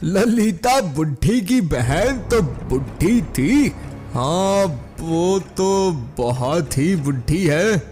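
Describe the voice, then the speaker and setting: A man's voice: high voice, lively intonation, drawn-out words, darkish tone, soft background music, heavily acted. A man voicing a flustered old village man in a cartoon, exclaiming and repeating himself in astonishment. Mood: puzzled, placating. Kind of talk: exaggerated cartoon story acting.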